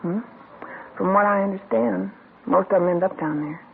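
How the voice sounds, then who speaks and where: Actress doing a tough female informant, wary, blunt, gravelly, tinny old radio. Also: mid-range voice, moderate pace, a little hiss or hum, natural voice. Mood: guarded, blunt. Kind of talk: 1950s radio drama acting.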